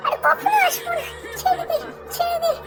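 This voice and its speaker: Cartoon forest ghoul, female, piercingly high, screeching, wailing, defiant, loud music behind. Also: fairly even intonation, a little fast. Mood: pleading, shrieking. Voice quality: shrill, screeching. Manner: wailing, repetitive chanting. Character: shrieking female forest ghost possessing a villager, defiant.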